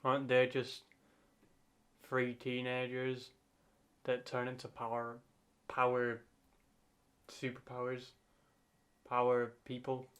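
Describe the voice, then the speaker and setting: A man reacting casually off the cuff, in a halting way, often repeating and rephrasing himself. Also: medium pitch, monotone, a bit bright. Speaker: reactor and channel host.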